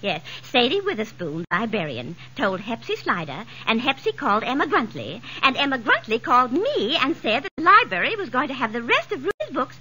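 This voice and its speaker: An actress playing a catty, gushing society gossip in a 1940s radio comedy, chatty and theatrical. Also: female voice, fairly high pitch, very animated, a little fast, few pauses, sharp tone, rough recording. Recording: hissy vintage 1940s radio recording.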